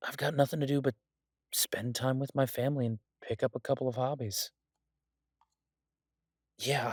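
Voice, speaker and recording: A man's voice: mid-range voice, dry recording, studio quality, lightly acted. A man playing a doting, restless mafia boss in an audio roleplay, talking conversationally with theatrical exasperation. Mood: candid, affectionate. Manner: conversational, exasperated, swearing, playfully dramatic. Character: male mafia boss, doting, restless, stuck baking in a safe house. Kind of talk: audio roleplay, one side of an intimate phone conversation.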